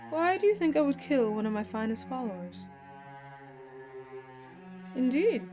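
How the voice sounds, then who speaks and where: Slow, imperious dark goddess acted by a woman. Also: fairly high pitch. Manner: commanding, formal, grandiose diction. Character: imperious ancient eldritch dark goddess, ominous yet concerned. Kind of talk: theatrical audio roleplay, addressing the listener. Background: background music.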